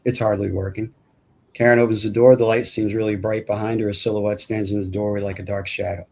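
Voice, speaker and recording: A man with a fairly low voice reads film stage directions aloud. His delivery is plain and matter-of-fact, as scripted narration at a table read. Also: flat delivery, a little fast, a little mellow.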